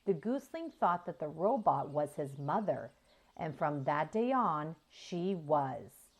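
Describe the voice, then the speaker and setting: A woman reading a children's story aloud in an expressive storytelling style. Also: lowish voice. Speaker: children's audiobook reader.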